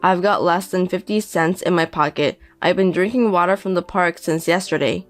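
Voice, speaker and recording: A woman voicing a 57-year-old indebted deadbeat father who pleads and pities himself, speaking slowly. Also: fairly low pitch, no echo, soft background music, lightly acted. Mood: self-pitying. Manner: pleading, self-pitying, slow.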